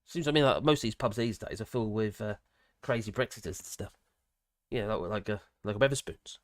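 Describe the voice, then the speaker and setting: An older man with grandchildren, hosting a casual live stream, hoarse from a cold and chatting in a rambling way with many fillers and restarts. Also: lowish voice, brisk. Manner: rambling, chatty, with frequent fillers and self-corrections.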